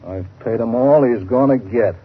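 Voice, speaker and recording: An actor playing a worried, scheming American crooked lawyer and crime boss in 1930s radio drama, curt and bossy. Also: male voice, mid-range voice, normal speed, husky, natural voice. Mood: cold scheming. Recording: tinny, phone-like 1930s radio recording.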